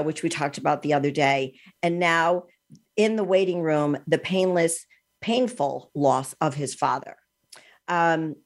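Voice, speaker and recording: A woman in her sixties with a low voice hosts a podcast interview. She talks in a chatty, eager way and fills pauses with an occasional um. Her low pitch sits well under most women's voices. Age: 60s. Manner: chatty, enthusiastic, uses ums. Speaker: podcast host.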